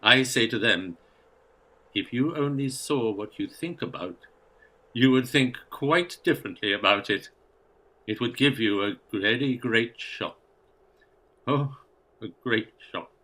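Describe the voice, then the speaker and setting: An actor playing a meek, kindly old English rector in a radio play, hesitant, apologetic and haunted. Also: male voice, medium pitch, measured pace, long pauses, sharp tone, poor audio. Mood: gentle, troubled. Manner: hesitant, apologetic, nervously chuckling. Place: actor's home.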